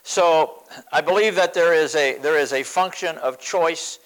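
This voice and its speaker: A man's voice: on the high side, a little slow, low-quality recording. A man in his seventies preaching a sermon, emphatic, repeating phrases for stress. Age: 70s.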